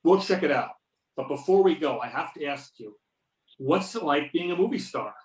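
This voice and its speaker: A man's voice: a little high, expressive, normal speed, lightly acted. A man playing a sardonic, confident FBI agent in an amateur readers-theater performance, with pointed questioning. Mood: cordial, confident. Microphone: videoconference or conference call. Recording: roomy echo, distant microphone.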